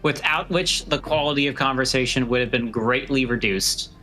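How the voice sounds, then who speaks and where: Bright-voiced man, dryly bantering. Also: mid-range voice, normal speed, soft background music, rough recording. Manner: dry, wry, rambling banter. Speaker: livestream guest. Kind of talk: casual bantering chat.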